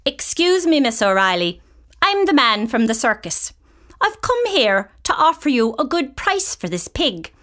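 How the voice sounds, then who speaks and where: Smooth, showy circus man , voiced by a woman, storybook. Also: high-pitched, sing-song, unhurried, fairly bright tone, voice pushed up, heavily acted. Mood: persuasive. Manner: persuasive and showy.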